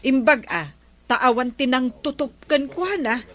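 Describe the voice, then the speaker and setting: Bright, exasperated Ilocano aunt, acted by a woman. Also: high-pitched, animated, unhurried. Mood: concerned, gossiping. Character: village captain's wife, an exasperated scolding aunt. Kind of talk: Ilocano comedy drama dialogue.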